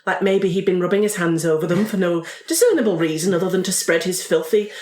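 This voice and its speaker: A woman's voice: lowish voice, lively intonation, fast, a little mellow, lightly acted. A woman voicing an arch, flirtatious lady snoop, theatrical and wordy, in a tabletop game. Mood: sly, theatrical. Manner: mock-polite, wordy, theatrical. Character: arch, flirtatious lady snoop narrating her own exploits. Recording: roomy echo.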